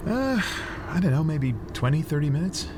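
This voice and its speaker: A man's voice: on the high side, sing-song, moderate pace, noisy background. A man with an American accent playing a goofy, easygoing husband in his thirties in a naturalistic script reading. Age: 30s. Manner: laid-back, joking. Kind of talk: screenplay table-read acting, naturalistic dialogue.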